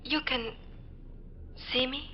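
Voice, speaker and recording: A woman's voice: high voice, steady tone, slow. An actress playing an uneasy, curious woman brought from the past in a 1950s radio drama, hesitant and earnest in her questions. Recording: muffled 1950s radio recording.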